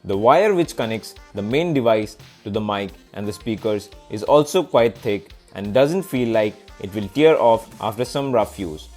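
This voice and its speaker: A man's voice: mid-range voice, darkish tone. A man presenting a scripted product review as a video reviewer, in narration style. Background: background music.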